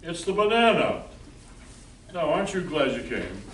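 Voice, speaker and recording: A man's voice: on the high side, sing-song, moderate pace. A man hosting an amateur radio play, reading scripted announcements to a live room. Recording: echoey room, distant mic, faint noise.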